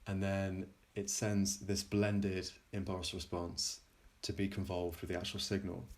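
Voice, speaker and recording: Low-voiced man giving a halting tech demo. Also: monotone. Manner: hesitant, halting, often pausing mid-sentence. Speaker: plugin developer presenting a demo. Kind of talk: informal technical demo narration.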